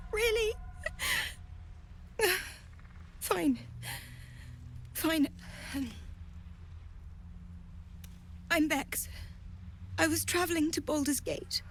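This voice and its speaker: A woman playing a frightened, grieving young refugee in a video game, tearful and halting. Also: very high voice, a little hiss or hum, heavily acted. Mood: weary, withdrawn. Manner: halting, fragile. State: tearful, sighing. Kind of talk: dramatic video game acting.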